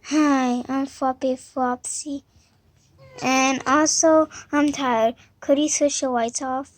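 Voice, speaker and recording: A young female amateur voicing a tired, sleepy cartoon critter with a subdued, low-energy delivery. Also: steady tone, slow. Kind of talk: amateur character voice acting.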